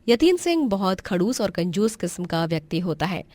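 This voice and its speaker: A woman's voice: mid-range voice, very animated, normal speed, darkish tone, no room sound. A woman giving scripted storytelling narration as the narrator of a tale.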